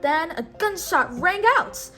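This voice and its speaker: A woman reading a storybook aloud to a child in an expressive, instructional way, pausing on words to be repeated. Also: very high-pitched, over music. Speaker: storybook read-aloud host. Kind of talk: children's read-aloud narration with call-and-response prompts.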